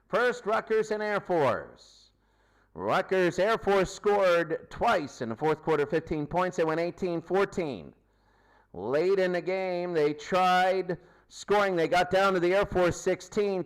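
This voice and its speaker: Man, higher-pitched voice, sports post-game host, emphatic, repetitive phrasing, game recap commentary. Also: clear, resonant tone, rough recording.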